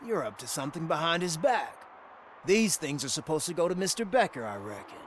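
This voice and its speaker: Animated, higher-pitched assertive guy. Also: fairly quick, slight background noise. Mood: smug, accusing. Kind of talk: video game cutscene acting.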